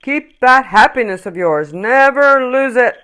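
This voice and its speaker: A woman voicing a huge, kindly male Christmas tree in a children's story, gentle, reassuring and emphatic. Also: fairly high pitch, lively intonation, a little slow, pitched above the performer's own voice. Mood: fond farewell.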